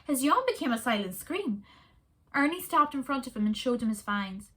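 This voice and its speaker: A woman reads a children's story aloud, soft and expressive. Her voice is bright and somewhat high, with a lively lilt. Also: normal speed.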